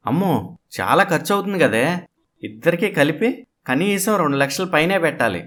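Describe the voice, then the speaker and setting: A man playing a mild middle-aged father who talks in a measured, reasoning way in an animated moral story. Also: fairly high pitch.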